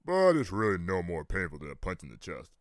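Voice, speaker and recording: A man playing a gruff, doting vigilante father in a comic dub, coaxing and commanding in a matter-of-fact way. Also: low voice, wildly swooping pitch, a little fast, no echo, studio quality, exaggerated voice. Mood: calm, coaxing. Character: gruff, doting vigilante father drilling his young daughter. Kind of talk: comic book dub acting.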